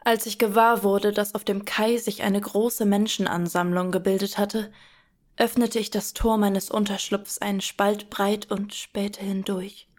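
A woman's voice: mid-range voice, little pitch movement, darkish tone, natural voice. An actress playing a hesitant, devout medieval girl, narrating reflectively in a radio play. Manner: deliberate, reflective. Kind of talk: dramatic radio play narration, archaic German.

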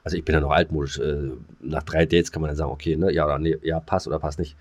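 A man with a low-pitched voice hosts a casual podcast. He rambles through jokey banter in colloquial speech, circling back and repeating words as he goes. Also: level tone, fast, a little mellow. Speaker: podcast host.

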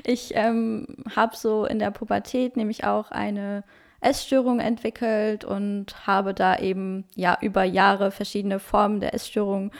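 A woman in her twenties with a Luxembourgish accent, speaking German as a non-native guest on a podcast. Her voice has a lot of vocal fry at a middle pitch. She talks earnestly, with frequent fillers. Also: flat delivery, unhurried. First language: not German. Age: 20s. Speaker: interview guest, coach. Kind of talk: casual interview talk.

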